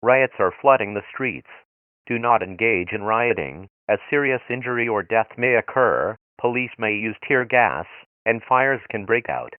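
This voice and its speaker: A man's voice: lowish voice, lively intonation, moderate pace, no room sound. A man playing a grave emergency news announcer, reading a formal, authoritative bulletin through a radio filter. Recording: tinny, narrow-band radio sound, dry.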